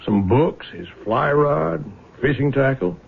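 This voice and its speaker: A man's voice: mid-range voice, lively intonation, slow, vocal fry, breathy edge, full character voice. An American actor playing a crafty, stubborn middle-aged father in a radio drama, speaking in a dry, indignant manner. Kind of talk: 1970s radio drama acting. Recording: lo-fi muffled vintage radio recording.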